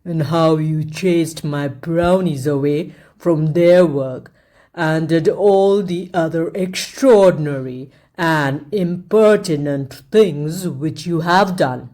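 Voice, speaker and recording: A woman voicing a wicked king who is cold, commanding and menacing, and who speaks slowly and deliberately. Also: low-pitched, mellow, pitched below the performer's own voice.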